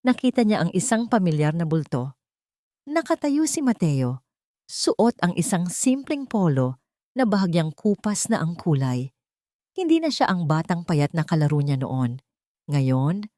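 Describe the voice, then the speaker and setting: Female narrator for dramatic fiction, lower register, dry close sound. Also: darkish tone, no echo. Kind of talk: dramatic scripted story narration.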